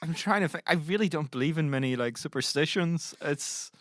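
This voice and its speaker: A man's voice: a little high, a little fast, fairly bright tone, no room sound. A man in casual, improvised banter as a podcast cast member.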